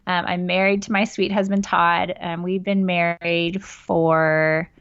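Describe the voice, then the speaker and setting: A woman's voice: fairly low pitch, little pitch movement, takes it slowly, fairly bright tone, clean tone. Woman in her forties, reflective and sincere, slightly muffled lo-fi sound. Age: 40s. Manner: earnest, reflective, many ums. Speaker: podcast guest. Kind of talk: casual podcast interview.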